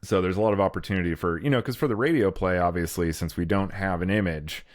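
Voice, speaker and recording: A man's voice: low voice, quick pace, no room sound, clean studio sound. A man co-hosting a podcast, thinking aloud in casual, slangy conversation. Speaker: podcast co-host. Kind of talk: casual brainstorming chat.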